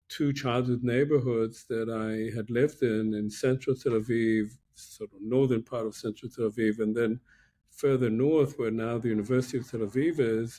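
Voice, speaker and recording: A man in his sixties from Israel, a guest professor giving reflective, measured interview answers with many pauses over a laptop video call from a room at home. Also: mid-range voice, mellow. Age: 60s.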